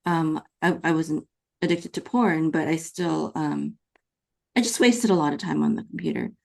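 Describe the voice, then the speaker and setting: A woman's voice: low voice, normal speed, creaky, darkish tone. A woman with a Californian American accent hosting a conversational podcast interview, explaining her opinions. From California, United States, born in the Philippines. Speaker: podcast host.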